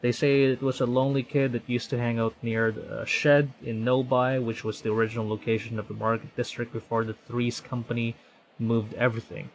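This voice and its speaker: A man's voice: mid-range voice, flat delivery, a little fast, slight background noise, rough recording. Man streaming a visual novel. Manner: conversational, stumbles and corrects himself while reading. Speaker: VTuber streamer. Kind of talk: reading visual novel text aloud on stream.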